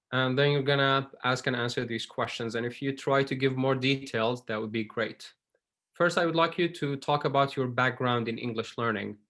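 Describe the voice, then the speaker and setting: A man's voice: medium pitch, a bit bright. A man teaching an online English class over a video call, friendly and patient, with loose fillers between thoughts.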